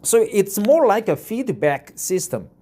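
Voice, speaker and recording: A man in his fifties from Korea, with a Korean accent, reading an article aloud and commenting, halting with many fillers and repeated "right?"s. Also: sits high, very animated, warm tone. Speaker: YouTube reader of articles.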